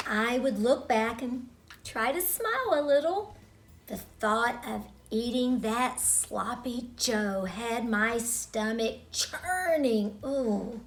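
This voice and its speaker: A middle-aged woman telling a childhood story to children in a deliberate, expressive manner with dramatic pauses. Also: a little high.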